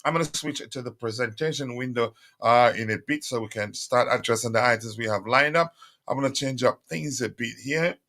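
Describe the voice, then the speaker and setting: Chatty male livestream host, bright voice. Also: mid-range voice, a little fast. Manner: chatty, many fillers, repeats calls for likes. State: voice strained, recovering. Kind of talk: casual live stream chat reading comments.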